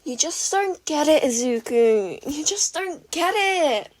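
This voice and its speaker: A woman's voice: high-pitched, expressive, slow, voice pushed up. Woman doing a gushing teen inventor girl. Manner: gushing, breathless and emphatic.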